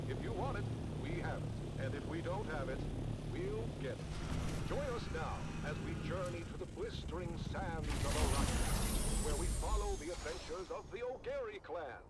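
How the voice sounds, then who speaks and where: An actor playing a theatrical, salesmanlike announcer of a radio serial in a video game. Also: male voice, a little high. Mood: rousing, promotional. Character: dramatic male radio serial announcer and pitchman. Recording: very noisy in-game radio broadcast.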